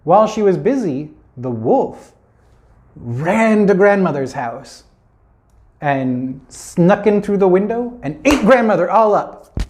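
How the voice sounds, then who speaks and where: A man's voice: high-pitched, sing-song, slow pace, a little mellow. A man telling a children's fairy tale informally, as a storyteller, with playful, chatty asides.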